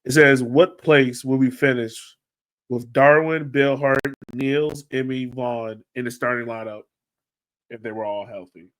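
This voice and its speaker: Male sports podcast host, medium pitch, informal and off-the-cuff, thinking aloud in relaxed conversation. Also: measured pace, rough recording. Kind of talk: casual sports podcast chat.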